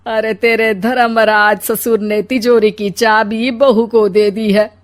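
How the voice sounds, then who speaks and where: A woman's voice: a little high, flat delivery, slow. A woman voicing a jealous, bossy older mother-in-law in a comic cartoon story, complaining dramatically. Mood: complaining, plotting.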